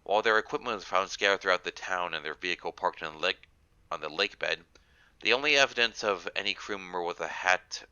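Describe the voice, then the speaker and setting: A man with a low-pitched, very bright and forceful voice, stuffed up by a cold. He speaks as a guest author, reading aloud and explaining casually. The audio is dry and somewhat lo-fi. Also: smooth, no echo. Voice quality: congested, nasal. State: congested with a cold.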